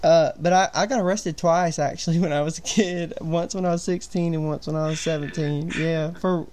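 A man's voice: a little high, fairly even intonation, fairly quick, a little mellow. Chatty young man hosting a livestream. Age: 20s. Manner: chatty, rambling, opinionated. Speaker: livestream host. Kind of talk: casual livestream chat.

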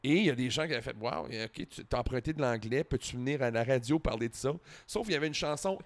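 A man in his forties with a Franco-Ontarian French accent chats as a guest in a living room, holding a handheld mic. He talks in an animated way, with restarts and the odd curse, at a middle pitch. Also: fast. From Ontario, Canada. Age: 40s. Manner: animated, lots of restarts and swearing. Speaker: podcast guest, entertainer. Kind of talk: casual chat.

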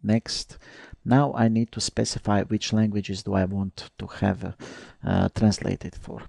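A young man from Croatia, a native Croatian speaker, talking through a software screencast step by step with frequent "uh" fillers. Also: fairly low pitch, flat delivery, unhurried, vocal fry, dry recording. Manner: hesitant, many "uh" fillers, step-by-step narration. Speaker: software tutorial presenter. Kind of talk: screencast tutorial.

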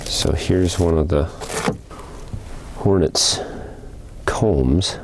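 A man casually narrating as he cleans out an old hive outdoors, thinking aloud with small pauses. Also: deep voice, background noise. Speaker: hobby beekeeper and channel host. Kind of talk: casual narration while working. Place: outdoors beside a wooden beehive.

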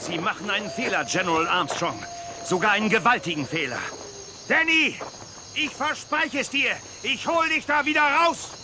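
An actor playing an earnest, determined Wild West sheriff in a children's radio play. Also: male voice, high-pitched, lively intonation, normal speed, very noisy. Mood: urgent, protesting.

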